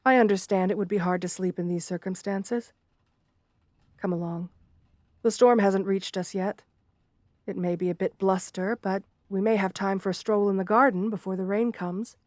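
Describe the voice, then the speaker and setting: Fantasy ASMR roleplay: actress as female gargoyle, lonely and romantic, mid-pitched, gentle, old-fashioned politeness, shy hesitations. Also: fairly even intonation, moderate pace, no echo, studio quality, lightly acted. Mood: kind, teasing. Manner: formal, polite, endearing diction with shy hesitations. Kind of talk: ASMR fantasy roleplay, intimate one-sided dialogue.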